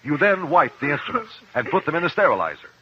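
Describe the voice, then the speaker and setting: An actor with an American accent playing a composed, probing courtroom investigator in a 1950s radio drama, speaking in a deliberate, formal, precise way. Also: male voice, fairly high pitch, big pitch swings. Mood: firm, accusing. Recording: muffled old 1950s radio recording.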